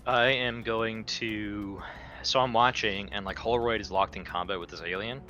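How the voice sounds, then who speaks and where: A man's voice: on the low side, fairly even intonation, moderate pace, pushed and bright, dull audio, soft background music. A man chatting casually as a player at a tabletop roleplaying game.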